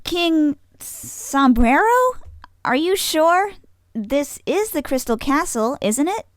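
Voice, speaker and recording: A woman's voice: high-pitched, big pitch swings, slow pace, no room sound, clean studio sound, full character voice. A woman voicing an earnest, polite young heroine pony in a cartoon audio drama, righteous and proper. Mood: earnest, puzzled.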